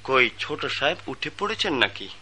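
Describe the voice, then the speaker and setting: An actor playing a deferential household servant in a radio drama. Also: male voice, medium pitch, sing-song, normal speed, bright, forceful tone, slightly rough, heavily acted. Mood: curious.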